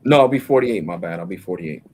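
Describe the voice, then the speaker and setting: A man's voice: low-pitched, animated, fast, a little mellow, a little hiss or hum. A man in his forties hosting a casual live stream, rambling in slang and calling people bro. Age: 40s.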